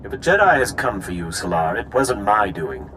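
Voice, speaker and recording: Deep, haughty Sith lord. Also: male voice, sing-song, normal speed, fairly bright tone, a little hiss or hum, rough recording. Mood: dismissive. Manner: imperious, disdainful. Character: haughty, cold male Sith lord villain. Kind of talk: sci-fi video game acting.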